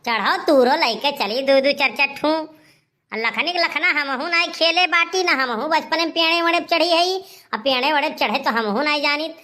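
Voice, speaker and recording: A woman's voice: high voice, normal speed, sharp tone. A woman playing a heavyset, crafty village elder sister in a comedy cartoon, chatty and coaxing, with an Awadhi accent.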